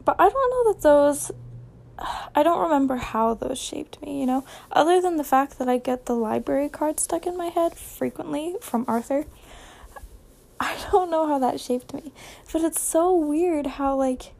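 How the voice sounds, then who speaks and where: A woman's voice: high-pitched, lively intonation, normal speed, creaky, darkish tone. A young woman with an American accent chatting casually on a solo podcast, rambling informally, questioning and correcting herself as she goes.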